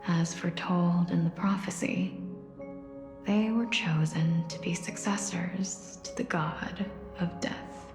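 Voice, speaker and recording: Mournful game demigod of death: soft, lower-pitched, creaky edge, wistful and measured. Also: female voice, fairly even intonation, whispered. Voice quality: soft, breathy, soothing. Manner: slow, measured, wistful storytelling. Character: solemn, gentle young female demigod of death, mournful. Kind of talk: video game voice acting. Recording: echoey hall reverb with music behind.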